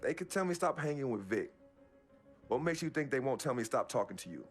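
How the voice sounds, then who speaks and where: An actor playing a young basketball star in a game drama, earnest, defensive and loyal, with casual street phrasing. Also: male voice, medium pitch, lively intonation, a little fast. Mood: hurt, indignant. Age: young adult. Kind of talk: naturalistic dramatic game cutscene acting. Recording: music behind the voice.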